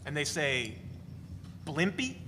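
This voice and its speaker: Glib male joker played by an actor, forceful bright delivery, salesman patter, live reading in a noisy reverberant hall. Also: a little high, big pitch swings, slow, heavily acted. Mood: glib, boastful. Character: glib, teasing man spinning deadpan tall tales. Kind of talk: dramatic reading of a novel before an audience.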